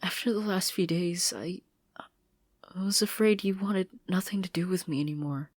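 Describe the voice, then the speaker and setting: A woman voicing an anxious, apologetic teenage girl in a story reading, hesitant and pleading, often dropping to a breathy near-whisper. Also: on the low side, steady tone, normal speed, darkish tone, no room sound, clean studio sound, pitched above the performer's own voice, natural voice. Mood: tearful, pleading.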